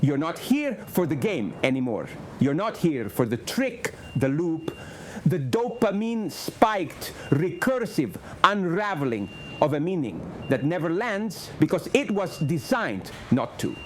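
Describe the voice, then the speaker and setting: Insistent machine mind, played by a man, animated delivery, faint noise, radio play. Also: on the high side, unhurried.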